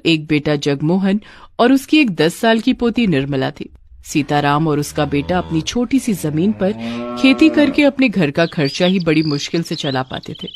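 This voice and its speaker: A woman's voice: low-pitched, darkish tone. A woman narrating a scripted village cartoon story.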